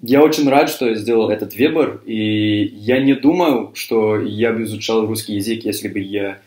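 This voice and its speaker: A young man from Cyprus, a native English speaker, answers interview questions as a university student, pausing often with fillers and restarts. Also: on the low side, a little mellow. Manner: hesitant, many fillers and restarts. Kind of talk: interview answers in a learned language. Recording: echoey room.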